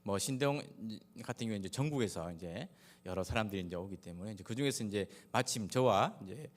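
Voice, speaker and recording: Man, Gyeongsang-accented Korean preacher. Lowish voice, halting sermon delivery, long hall reverb. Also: animated. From Daegu, South Korea. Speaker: pastor. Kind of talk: sermon with personal anecdotes. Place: large reverberant church hall.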